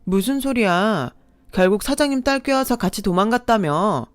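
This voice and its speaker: A woman's voice: mid-range voice, expressive, moderate pace, dry recording, faint music behind, pitched above the performer's own voice, close to an everyday voice. A woman voicing her younger self, an angry young single mother who answers back sharply.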